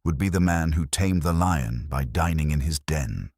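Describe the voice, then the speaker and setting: A man's voice: extremely low pitch, animated, moderate pace, darkish tone, dry recording, clean studio sound, full character voice. A man voicing a shrewd detective's assistant who needles and accuses in a wry, pointed, clipped way. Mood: accusing.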